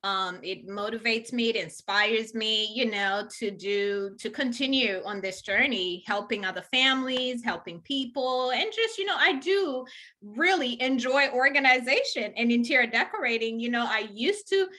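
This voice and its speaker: A woman's voice: fairly high pitch, normal speed, bright, forceful tone. A woman from Rwanda and Uganda, a home organizer, talking enthusiastically in a casual interview with many fillers. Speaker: professional organizer, guest.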